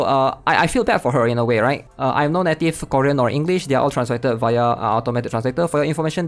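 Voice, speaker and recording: A man hosting a gaming channel, casually commenting while reading online posts aloud and often tagging sentences with "right?". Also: a little high. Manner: chatty, tags sentences with "right?".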